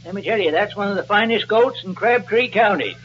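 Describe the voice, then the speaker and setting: Casting: nervous rustic amateur actor, homespun, high-pitched, on a muffled, hissy old broadcast. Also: male voice, a little fast, a bit bright. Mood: homespun, proud. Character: folksy country farmer turned nervous amateur actor, a man. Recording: dull, noisy old broadcast recording.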